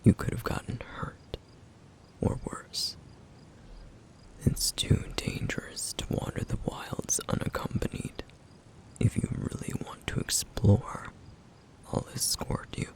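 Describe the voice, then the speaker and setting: A man voicing a stern yet caring flame demon in an ASMR roleplay, speaking in a hushed, gravelly whisper with measured, formal phrasing. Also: close to an everyday voice. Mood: stern, worried. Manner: measured, formal, slightly old-fashioned phrasing.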